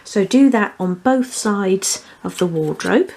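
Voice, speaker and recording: A woman's voice: mid-range voice, animated, slow pace, gravelly, a little mellow, a little hiss or hum. A woman presenting a hands-on craft tutorial, explaining each step methodically. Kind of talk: hands-on step-by-step instructional demonstration.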